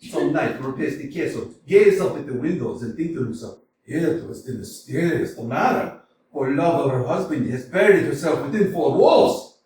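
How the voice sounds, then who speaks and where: A man voicing a hot-tempered, blustering landowner in a staged reading of a play, with theatrical shouting bursts. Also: fairly high pitch, animated, normal speed, slight background noise, lightly acted. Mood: mocking. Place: small community theater. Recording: echoey room, far from mic.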